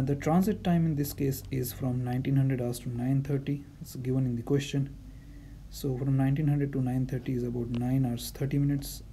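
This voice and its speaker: A man's voice: mid-range voice, vocal fry, mellow, a little hiss or hum. A man explaining a navigation calculation step by step as an instructor, patient and repeating key points. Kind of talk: whiteboard-style tutorial explanation.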